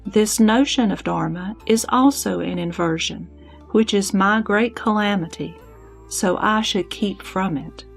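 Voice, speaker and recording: A woman playing a sick bodhisattva reflecting calmly and deliberately in a scripture audio drama. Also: medium pitch, creaky, faint music behind. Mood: calm, contemplative. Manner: contemplative, deliberate.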